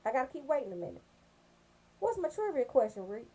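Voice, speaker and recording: A woman's voice: high voice, sing-song, quick pace, dark tone, slightly rough. An American woman hosting a casual radio show, chatty and slangy, joking as she talks straight to her listeners. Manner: slangy, joking, bantering, addresses listeners directly.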